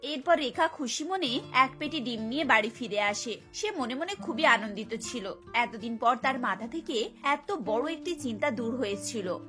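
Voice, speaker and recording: Female narrator, high-pitched, bright tone, scripted story narration, faint music behind. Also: expressive, moderate pace.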